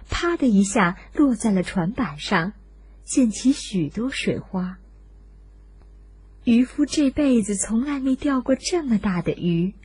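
A woman with a notably husky, breathy voice narrates a story for children. She reads at an unhurried pace in an expressive, deliberate way. The recording is dry and close. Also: mid-range voice, dry recording.

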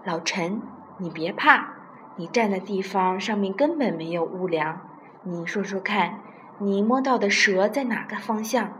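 A kindly rich landowner from a children's story, voiced by a woman narrator. The delivery is measured and patient, with gentle authority. Some background noise is present. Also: mid-range voice, animated, lightly acted, rough recording. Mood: gentle, reassuring. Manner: measured, reassuring. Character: kindly wealthy squire, patient and admonishing.

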